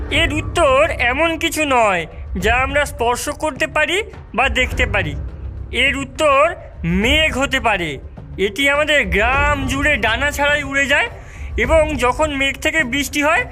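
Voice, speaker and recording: A clever young villager from a cartoon voiced by a woman, very high and bright. The words come slowly and deliberately, as if working something out, with faint music behind. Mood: pondering. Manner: deliberate, thinking aloud.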